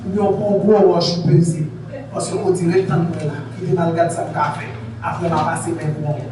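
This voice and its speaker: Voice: medium pitch, little pitch movement, brisk, a crowd in the background. A preacher delivering a sermon to a congregation. The preacher is emphatic, repeats points and asks the congregation questions. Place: church hall in Haiti. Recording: very echoey, distant, noisy church recording.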